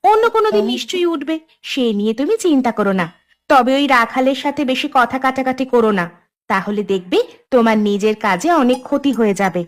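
A woman voicing a high-voiced, sensible village housewife. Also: expressive, fast talker. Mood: reassuring. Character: caring, sensible village housewife advising her husband. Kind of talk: Bengali cartoon voice acting.

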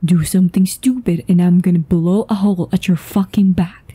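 A woman's voice: lowish voice, steady tone, moderate pace, warm tone. A woman voice actor playing a tense, foul-mouthed, commanding woman with a secret in a dramatic audio roleplay, urgent and swearing. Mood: cold, threatening. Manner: swearing, urgent, breathy sighs and stammers. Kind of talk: dramatic ASMR roleplay acting.

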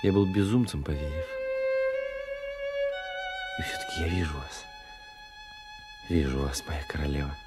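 An actor playing a passionate, imperious duke in a radio drama, courtly and commanding. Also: male voice, a bass voice, wildly swooping pitch, a little slow, pauses often, exaggerated voice. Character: passionate, imperious English duke in love with a queen. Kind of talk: theatrical radio drama acting. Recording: radio play with music underneath.

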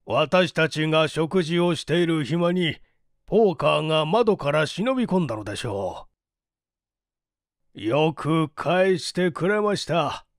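A man voicing a polite, earnest gentleman in a story reading, deliberate and expressive. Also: fairly high pitch, dry recording, clean studio sound. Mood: surprised relief.

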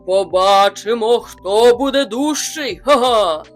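A woman's voice: slow, soft background music. A woman voicing a huge, furious male bear who roars threats in a storybook reading. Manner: roaring, booming threats.

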